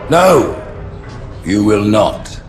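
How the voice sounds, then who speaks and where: An actor voicing an imperious ancient alien high priest who speaks in a solemn, grandiose manner. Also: male voice, very animated, very slow, noisy background, heavily acted. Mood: judging. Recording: game cutscene with loud music.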